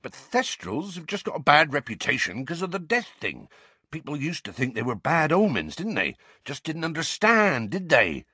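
Storybook gentle giant, played by a man: rustic teacher, folksy, bumbling, swinging up and down in pitch. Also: huge pitch swings, moderate pace, husky, dry recording, full character voice. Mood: anxious. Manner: hesitant, informal, folksy. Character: kindly, gigantic rustic male teacher, cheerful and bumbling.

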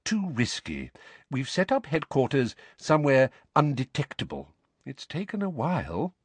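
A man voicing a calm, kindly, tired youngish male wizard in an audiobook. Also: mid-range voice, normal speed, breathy edge, dry recording, pitched above the performer's own voice. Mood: reassuring.

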